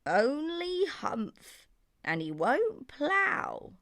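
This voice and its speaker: Brief: female reader as plodding storybook ox, raised pitch, very slow drawl. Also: wildly swooping pitch, fairly bright tone, no room sound, studio quality, heavily acted. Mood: complaining.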